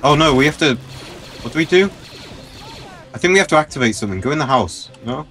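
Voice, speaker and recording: Joking young man, middling pitch. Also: animated, fast, fairly bright tone, soft background music. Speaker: gaming video player. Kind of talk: joking casual gameplay chat.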